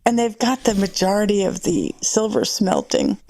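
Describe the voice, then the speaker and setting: A woman from the United States chatting casually on a podcast, telling stories with animated emphasis. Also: mid-range voice, moderate pace, vocal fry. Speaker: podcast co-host. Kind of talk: casual group chat.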